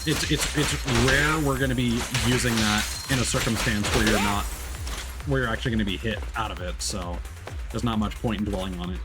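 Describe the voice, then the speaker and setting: Analytical male game streamer over loud music. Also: lowish voice, brisk. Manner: thinking aloud, analytical. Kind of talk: casual gameplay commentary. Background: loud video game music.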